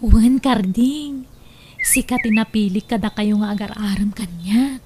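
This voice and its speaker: A woman with an Ilocano accent playing a coy, soft-hearted young daughter being courted in a radio drama. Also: medium pitch, takes it slowly, close to an everyday voice. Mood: coy, tender. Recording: clear background noise.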